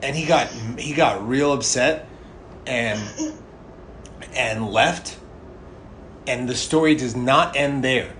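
A man's voice: mid-range voice, slow pace, pauses often, a bit bright. A man in his thirties chatting casually and telling personal stories as a podcast co-host. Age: 30s. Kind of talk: casual chat and personal storytelling. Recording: clearly noisy background ambience.

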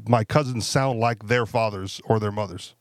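A man's voice: lowish voice, moderate pace, no echo, clean studio sound. Casual man asking "right?" often. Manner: conversational, frequent fillers and tag questions. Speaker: podcast co-host. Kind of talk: casual panel discussion.

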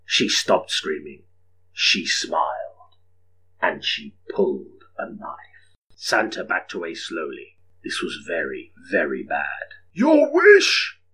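A man's voice: rumbling low, slow, sharp tone, a little hiss or hum, rough recording. A man narrating an audiobook story in a dramatic, scripted storytelling style.

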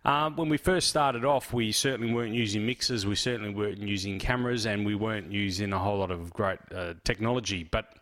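An Australian man hosting his podcast and introducing a retrospective episode in a relaxed, rambling way with lots of fillers. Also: lowish voice, fairly quick, few pauses. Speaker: podcast host. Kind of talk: casual solo intro.

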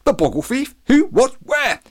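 Man voicing a high, befuddled policeman. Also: wildly swooping pitch, normal speed, darkish tone, breathy edge, exaggerated voice. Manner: confused, blurting short questions. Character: bumbling, befuddled, greedy village policeman.

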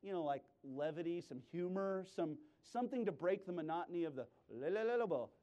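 A man preaching in an emphatic sermon style, with sudden loud exclamations and playful, self-deprecating humor. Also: a little high, animated, fairly quick, dull audio. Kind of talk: animated sermon with humorous storytelling.

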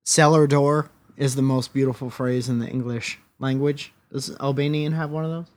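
A man's voice: mid-range voice, fairly even intonation, moderate pace. A man co-hosting a podcast, chatting casually in a playful, rambling way and swearing now and then. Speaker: podcast co-host. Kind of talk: casual banter.

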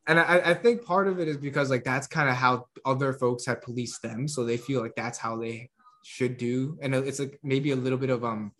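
A man chatting casually on a podcast, animated, laughing often and tripping over repeated words. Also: medium pitch, moderate pace. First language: English. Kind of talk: casual chat.

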